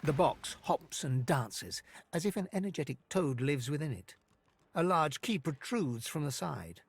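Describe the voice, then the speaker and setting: Theatrical fantasy storyteller, very expressive. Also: male voice, fairly high pitch, unhurried, a little hiss or hum. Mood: intrigued, ominous. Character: ominous male storyteller of a dark fantasy game. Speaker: game narrator. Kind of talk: dramatic scripted second-person narration.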